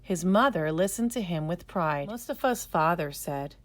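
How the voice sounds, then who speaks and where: A woman storyteller narrating a scripted children's story in a careful, expressive way. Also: on the low side, normal speed.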